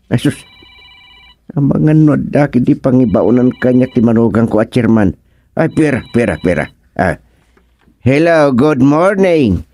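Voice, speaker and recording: A man acts out a muddled senior village councilman from a radio comedy, with an Ilocano accent. He talks slowly and haltingly, muttering and exclaiming to himself in a flustered way. The sound is close and dry. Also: lowish voice, mellow, no echo, exaggerated voice.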